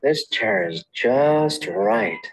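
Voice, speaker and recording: A man's voice: mid-range voice, drawn-out words, a little hiss or hum. A man voicing a curious little girl with curly hair, chirpy and exclaiming, stretching out his words as he tries things. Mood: fussy, exclaiming.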